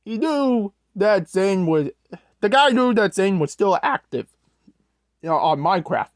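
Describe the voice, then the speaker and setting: A storyteller narrating a creepy tale in a halting way, often stumbling and rewording. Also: mid-range voice, sing-song, dry recording, clean studio sound. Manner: halting, corrects own wording mid-sentence. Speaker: host, storyteller.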